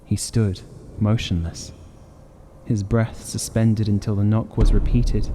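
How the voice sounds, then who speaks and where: A man's voice: lowish voice, noisy background. A man narrating a horror audiobook in a dramatic, scripted reading style.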